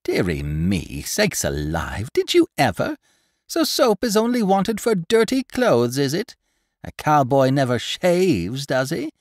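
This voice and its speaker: A man's voice: medium pitch, huge pitch swings, unhurried, dry recording, studio quality, pitched above the performer's own voice, heavily acted. A man voicing a stout, gossipy older woman shopkeeper in an audiobook, chattering in a breathless, exclamatory way. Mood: mocking. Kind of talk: audiobook character reading.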